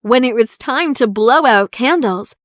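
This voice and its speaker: A woman reads a children's story with expressive, deliberate delivery. Her voice is high and very animated, and she takes her time. The recording is close and dry. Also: dry recording. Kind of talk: children's story read-aloud narration.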